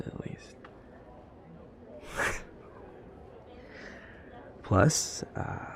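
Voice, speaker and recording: A man playing a nervous vampire boyfriend who is afraid of flying, speaking haltingly with stammers in an intimate audio roleplay. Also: fairly low pitch, vocal fry, slight background noise, full character voice. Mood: earnest, stubborn.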